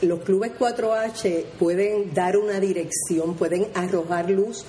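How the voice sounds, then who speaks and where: A woman's voice: mid-range voice, fairly even intonation, a little slow, dark tone, echoey room, a little hiss or hum. A woman hosting an interview, friendly and turning to speak straight to the listeners. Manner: friendly, addresses audience as "amigos". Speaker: host. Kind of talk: radio interview, addressing listeners.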